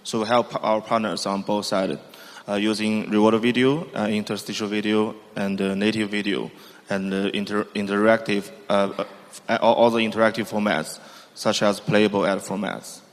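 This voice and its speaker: Low flat-voiced man in a hall. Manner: non-native English, halting with fillers. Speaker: panelist, company CEO. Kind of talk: conference panel talk. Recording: long reverb of a conference hall.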